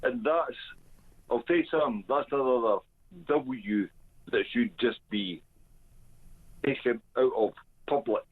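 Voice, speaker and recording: Slow-talking Scottish man on a phone line. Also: mid-range voice. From Scotland. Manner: blunt, halting, emphatic. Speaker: caller. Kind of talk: phone-in call.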